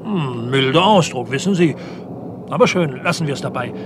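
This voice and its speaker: A man's voice: fairly high pitch, animated, moderate pace, close to an everyday voice. A male actor playing an eager junior police detective in a German crime radio play, deferential and hesitantly thinking aloud. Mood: eager deduction. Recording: noisy old radio play, vehicle ambience.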